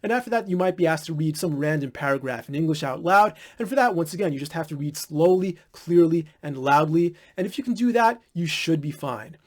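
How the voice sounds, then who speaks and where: Young man from the US, quick-talking, slightly high voice, blunt and candid vlogger. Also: expressive. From United States. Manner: blunt, candid, occasional swearing. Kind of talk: informal advice monologue.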